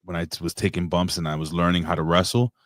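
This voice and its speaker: Man, late forties, very low voice, dry close sound, profane rambling talker. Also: flat delivery, fast talker, no echo. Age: 40s. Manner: profane, rambling storyteller with many restarts. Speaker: guest. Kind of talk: casual chat.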